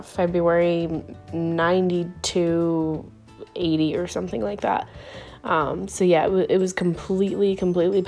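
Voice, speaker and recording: A woman hosting a scrapbooking video, talking casually over her crafting with a hoarse voice that is giving out from illness and lots of ums and little laughs. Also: fairly low pitch, darkish tone. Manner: rambling, chatty, frequent ums and little laughs.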